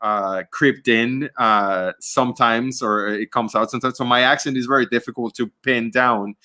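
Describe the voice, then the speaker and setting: A man's voice: mid-range voice, fairly even intonation, normal speed, bright, forceful tone. A man in his thirties whose first language is Spanish, speaking English with a Spanish accent mixed with Canadian and British sounds, chatting on a livestream and often hesitating with fillers. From Spanish-speaking country. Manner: hesitant, many fillers and restarts. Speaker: podcast co-host. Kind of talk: casual chat on a livestream. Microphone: video call.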